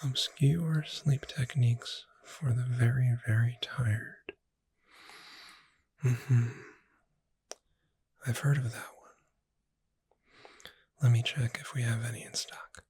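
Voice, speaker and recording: A performer voicing a friendly witch bookseller in a soft-spoken ASMR roleplay, gentle, unhurried and lightly wry in a breathy near-whisper. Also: mid-range voice, fairly even intonation, slight background noise. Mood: helpful, relaxed.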